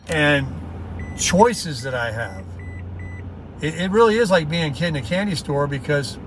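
A man's voice: a little high, fairly bright tone. A middle-aged man vlogging from his car, rambling in a casual, folksy, upbeat way. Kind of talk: casual rambling talk while driving. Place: inside a moving car. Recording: faint road noise in car. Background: road and car noise.

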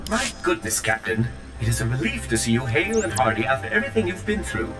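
An actor voicing a prim, flustered protocol droid in a video game, prissy and fretful with a metallic filter. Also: male voice, medium pitch, sing-song, fast, echoey room, over music. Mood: relieved, fussy. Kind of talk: video game dialogue acting.

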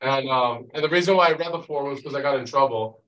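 A man's voice: mid-range voice, quick pace, fairly bright tone. Man speaking casually in an echoey room. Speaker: Bible study leader. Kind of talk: casual group teaching and chat. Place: meeting room with long conference table. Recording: roomy, picked up from a distance.

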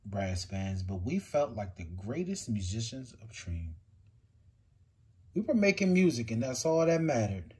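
A man reading a picture book aloud with expressive, swinging delivery. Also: slightly rough. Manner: expressive storytelling with big swings in pitch and loudness.

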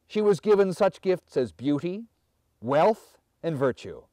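A man in his fifties reading a fairy tale aloud as a storyteller, with measured, theatrical and deliberate phrasing. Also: on the high side, very animated, darkish tone, dry recording, studio quality. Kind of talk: dramatic fairy tale narration.

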